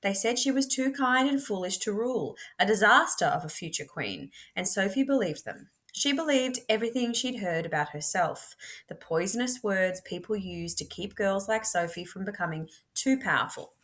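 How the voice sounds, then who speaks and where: A woman reading a storybook aloud expressively, in a measured, dramatic way with deliberate pauses. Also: mid-range voice, expressive, fairly bright tone. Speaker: teacher reading books aloud.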